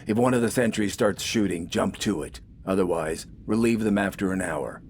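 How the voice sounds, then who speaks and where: A man's voice: deep voice, moderate pace, darkish tone, slight background noise. A man voicing a curt, authoritarian young militia corporal with a terse, commanding and menacing manner. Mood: curt orders.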